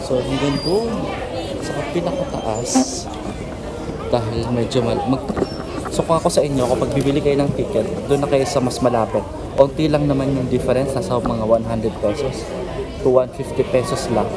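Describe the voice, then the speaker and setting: Chatty Filipino man vlogging amid loud crowd noise. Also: medium pitch, steady tone, moderate pace. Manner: chatty, informal, addressing viewers. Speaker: travel vlogger. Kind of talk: casual vlog chat in mixed Tagalog and English. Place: outdoors on a busy city street and around a theater entrance. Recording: loud street noise, crowd and music behind. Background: crowd, traffic, music.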